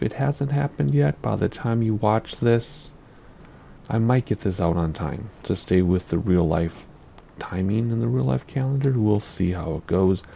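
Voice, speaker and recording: A man's voice: on the low side, vocal fry, dark tone. A man hosting a flight simulator gameplay video in casual commentary, his voice scratchy from allergies, rambling and easily distracted and laughing at his own slips. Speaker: flight sim gameplay host.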